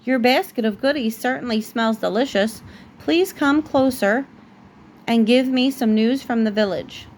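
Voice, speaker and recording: A woman's voice: fairly high pitch, a little slow, slight background noise. A woman voicing a sly, hungry male storybook wolf who coaxes with false sweetness as a pretend grandmother. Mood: coaxing.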